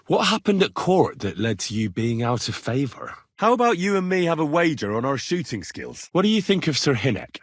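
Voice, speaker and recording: A man acting a young, plain-spoken medieval retainer in a video game, earnest and curious, with an expressive, conversational delivery. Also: on the high side, brisk, few pauses, no room sound, studio quality. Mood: curious, probing. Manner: conversational, expressive, questioning, folksy turns of phrase.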